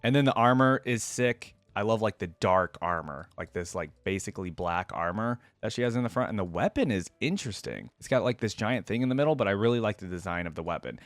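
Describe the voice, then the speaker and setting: A man's voice: on the low side, no room sound. A man giving casual reaction commentary as a video host, thinking aloud in informal, slangy language.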